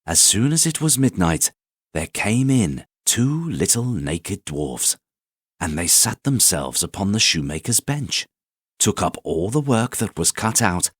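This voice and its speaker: A man reading a classic fairy tale aloud as a calm, soothing bedtime story, unhurried and expressive. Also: on the low side, husky, no room sound, studio quality.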